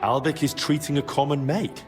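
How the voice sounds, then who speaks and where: A man's voice: fairly high pitch, fairly even intonation, brisk, music in the background, lightly acted. An actor playing a dutiful, dry young medieval man-at-arms in a video game, direct and courteous. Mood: businesslike. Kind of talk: video game cutscene acting.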